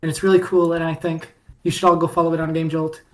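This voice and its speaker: A woman's voice: sits low, little pitch movement, fast talker, a little mellow. A woman guest on a podcast, chatting playfully and with enthusiasm about her fan game. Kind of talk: casual podcast chat.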